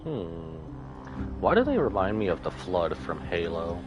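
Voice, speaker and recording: Male streamer, casual reaction commentary, lowish voice with big pitch swings, loud game music behind. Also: very animated, measured pace. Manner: casual, reactive, thinking aloud.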